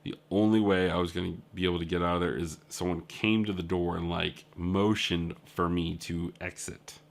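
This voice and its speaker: Young male indie dev, lowish voice, loose rambling video-diary storytelling. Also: vocal fry, a bit bright. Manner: rambling storytelling with filler words and asides. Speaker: indie game developer. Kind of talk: casual video diary recap.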